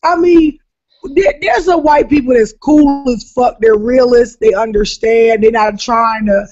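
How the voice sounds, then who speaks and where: A woman from Jacksonville, Florida with a raspy voice, telling profane stories in casual chat as a comedian guest, often laughing loudly. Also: fairly high pitch, a little slow. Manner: brash, profane storytelling with loud laughter. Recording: dull lo-fi podcast audio.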